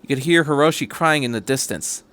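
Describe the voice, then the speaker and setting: A man's voice: mid-range voice, fairly quick, fairly bright tone. A man reading a story aloud as a podcast host, in scripted narration. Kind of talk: scripted narration of fan fiction.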